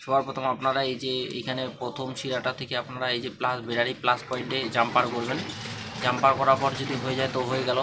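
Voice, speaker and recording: A man talks very quickly in a flat, even tone, walking through a hands-on tutorial step by step and repeating his instructions. His pitch is average, and clear noise runs through the home-made recording. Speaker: phone repair tutorial host.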